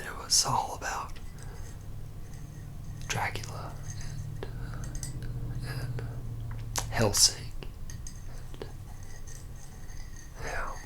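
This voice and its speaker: A reluctant young male vampire, acted by a man, speaks in a hushed voice thick with gravelly fry. He is slow and halting, pausing often. His weary, apologetic manner suits an intimate ASMR roleplay. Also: on the low side, heavily acted. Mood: wistful, apologetic. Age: young adult. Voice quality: breathy, gravelly whisper. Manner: hesitant, halting, apologetic, full of pauses.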